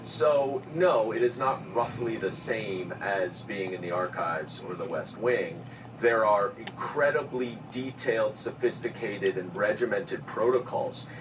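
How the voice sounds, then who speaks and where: Guy explaining stuff firmly on a news panel, lowish voice, sounds off the mic. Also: measured pace. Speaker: expert panel commentator, former congressional intelligence committee staffer. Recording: noisy, distant-sounding audio.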